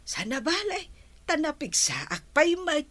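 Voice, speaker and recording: A woman's voice: high voice, big pitch swings, measured pace, fairly bright tone, heavily acted. A woman playing a hardworking, respectful older housemaid and grandmother in an audio drama, speaking deferentially. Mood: earnest, respectful.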